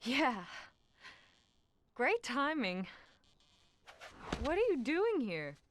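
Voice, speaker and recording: An actress voicing a young woman in a video game, speaking softly and hesitantly. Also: high-pitched, wildly swooping pitch, drawn-out words, a little hiss or hum, exaggerated voice. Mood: wistful. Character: young woman, wistful, newly engaged.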